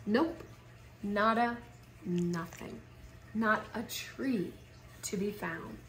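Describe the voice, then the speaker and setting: A woman in her thirties reading a children's picture book aloud in a theatrical, expressive way with drawn-out emphasis. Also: medium pitch, a little hiss or hum. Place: living room at home, indoors. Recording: roomy home recording.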